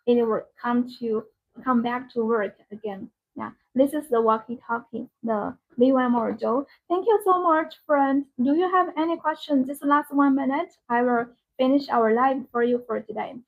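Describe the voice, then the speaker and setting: A woman hosting a live product demonstration and sales pitch, speaking in halting, repetitive non-native English phrasing. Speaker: live-stream sales host. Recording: tinny phone-like live-stream audio.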